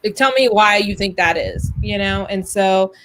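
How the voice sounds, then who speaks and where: A woman's voice: medium pitch, moderate pace, poor audio. A woman with an American accent chatting informally and telling anecdotes, acting out quoted exchanges. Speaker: business podcast co-host. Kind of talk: casual chat, anecdotes. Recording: noticeable background noise, clicks.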